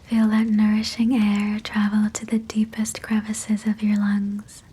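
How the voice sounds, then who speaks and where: Whispering woman guiding sleep hypnosis, very slow. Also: medium pitch, completely flat delivery, rough recording. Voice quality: breathy, unvoiced whisper. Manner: soothing, gentle, measured phrasing. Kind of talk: guided sleep meditation, whispered.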